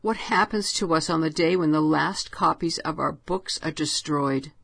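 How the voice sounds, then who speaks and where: A woman voicing a frightened, despairing male writer in a storybook reading, speaking in hushed, fearful tones. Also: deep voice, normal speed, vocal fry, husky, exaggerated voice.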